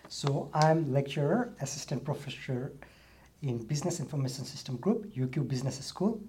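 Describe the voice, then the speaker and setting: A man from Nepal, a university lecturer answering interview questions in a soft-spoken, hesitant way with restarts. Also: medium pitch, lively intonation, moderate pace, husky.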